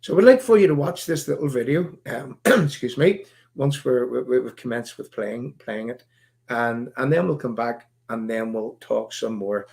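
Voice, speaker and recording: A man with a Northern Irish accent hosting a live stream, talking in a halting, rambling way full of fillers, his voice croaky. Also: mid-range voice, a little mellow. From Northern Ireland. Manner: halting, many fillers and restarts. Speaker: livestream host.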